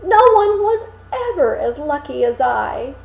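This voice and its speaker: A woman's voice: high voice, sing-song, measured pace, creaky, a little mellow, echoey room, voice pushed up, heavily acted. A woman voicing a cheerful, naive young man in a storybook reading, eager and guileless. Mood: overjoyed.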